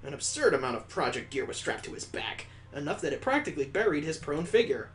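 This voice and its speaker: A man's voice: fairly high pitch, big pitch swings, quick pace, soft background music. A man hosting a gaming video, narrating a visual novel with improvised asides as he reads aloud.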